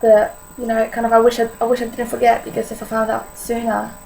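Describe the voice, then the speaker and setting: A woman's voice: a little high, completely flat delivery, fairly quick, vocal fry, darkish tone, a little hiss or hum, poor audio. An eighteen-year-old woman from Poland with a Polish accent, talking candidly to camera in a personal vlog, with many fillers. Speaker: vlogger.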